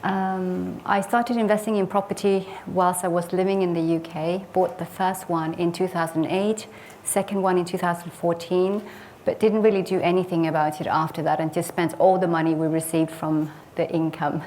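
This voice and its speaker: A woman from Sweden speaks in a fairly low, steady voice, sharing her investing journey with an audience. She is earnest and reflective and often pauses on small hesitant fillers. A faint hum of noise sits underneath her. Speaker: property investor giving a case study talk. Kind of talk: personal case study presentation.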